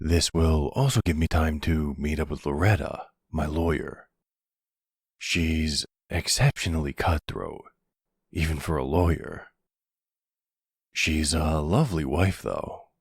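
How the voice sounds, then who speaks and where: A man playing a middle-aged silver fox mafia boss, smitten and commanding, speaking tenderly and gently in an intimate ASMR roleplay. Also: no echo, studio quality, heavily acted. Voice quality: low, gravelly. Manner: slow, tender, measured.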